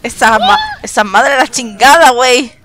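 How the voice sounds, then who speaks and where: A woman with an accent from Spain chatting excitedly while playing a shooter game with a friend, laughing and swearing often. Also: on the high side, moderate pace, a little hiss or hum. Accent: Spanish from Spain. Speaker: gaming channel host. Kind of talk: casual gameplay chat with a friend.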